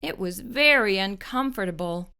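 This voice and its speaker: A woman narrating a children's storybook in an expressive, deliberate way as a storyteller. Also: mid-range voice, clear, resonant tone.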